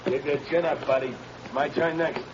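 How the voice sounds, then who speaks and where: An actor playing a gruff male death-row prisoner in a 1930s radio drama, consoling a fellow inmate. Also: a little high, fairly even intonation, normal speed, lightly acted. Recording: hissy, muffled 1930s radio transcription.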